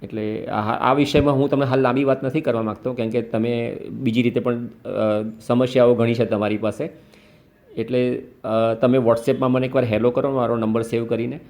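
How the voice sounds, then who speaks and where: A man's voice: medium pitch, flat delivery, normal speed, a little mellow. A man on a phone call patiently giving a caller step-by-step advice. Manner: patient, instructive, explains step by step. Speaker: host advising a caller.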